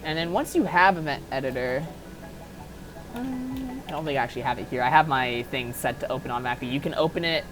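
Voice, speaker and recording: A woman's voice: sits low, expressive, quick pace, music in the background, rough recording. A woman giving an informal, unscripted tutorial, talking offhandedly and thinking aloud as she goes. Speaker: tutorial host. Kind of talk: casual unscripted software modding tutorial.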